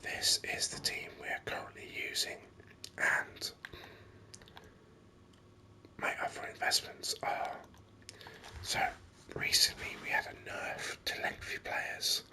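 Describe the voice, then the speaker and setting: A gaming host whispers ASMR commentary close to the microphone. The voice is breathy and almost entirely unvoiced, with a very crisp, sharp brightness. The delivery is soft and unhurried, explaining things step by step. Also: rough recording.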